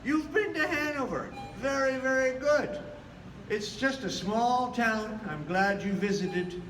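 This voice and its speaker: A man's voice: extremely high pitch, slow pace, fairly bright tone, a little hiss or hum. A man entertaining a care-home audience indoors with friendly stage banter. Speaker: musical entertainer at care homes. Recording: roomy, distant mic, music behind.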